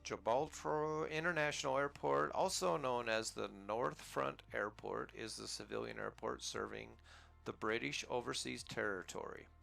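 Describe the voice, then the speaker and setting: A man's voice: medium pitch, moderate pace, vocal fry, fairly bright tone, faint music behind. An American man casually commenting on his flight simulator gameplay in a rambling, easygoing way, chuckling at himself now and then. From United States. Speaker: flight sim gaming channel host.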